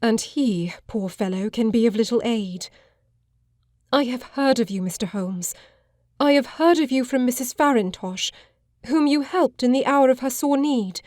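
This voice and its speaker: An actress playing a frightened, distraught Victorian gentlewoman of thirty in an audiobook drama, with a formal, pleading manner. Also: a little high, dry recording, studio quality, close to an everyday voice. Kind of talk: full-cast audiobook drama acting.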